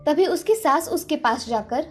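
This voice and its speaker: A woman delivers scripted storybook narration. Her voice is notably high-pitched. Light music plays in the background. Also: animated, moderate pace.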